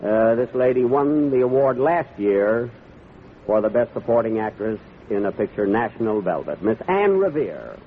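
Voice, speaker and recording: A man's voice: mid-range voice, big pitch swings, moderate pace. Tinny radio-era male ceremony presenter. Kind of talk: formal 1940s live ceremony speech. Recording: tinny old radio broadcast, faint noise.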